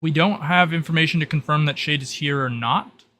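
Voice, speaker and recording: A man's voice: on the high side, brisk, pushed and bright, a little hiss or hum. A man giving an improvised, matter-of-fact mission briefing in a tabletop role-playing game.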